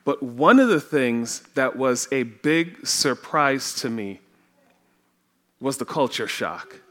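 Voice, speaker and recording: A man's voice: medium pitch, lively intonation, a bit bright. A man from Trinidad with a Trinidadian accent, preaching a sermon in a church and telling personal stories with frequent laughter. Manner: slow, storytelling, often laughing. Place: church sanctuary.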